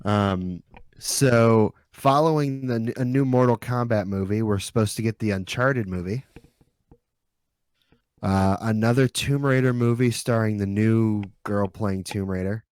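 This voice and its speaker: A man's voice: on the low side, unhurried, darkish tone, smooth, no room sound. A man hosting a podcast, chatting casually with a group. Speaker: podcast host.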